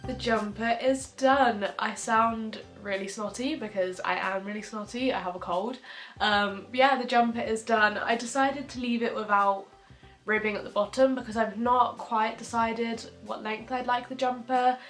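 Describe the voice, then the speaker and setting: Bright-voiced woman teaching crochet. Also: fairly high pitch, moderate pace, faint music behind. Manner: chatty and relaxed, with frequent ums. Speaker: crochet tutor. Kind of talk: casual step-by-step tutorial.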